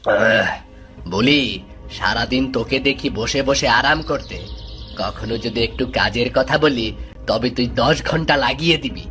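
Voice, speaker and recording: Man as scolding uncle, cartoon, impatient, bright forceful tone, faint music behind. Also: mid-range voice, measured pace. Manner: impatient, bossy, nagging. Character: grumpy, demanding, scolding uncle. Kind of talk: animated moral tale voice acting.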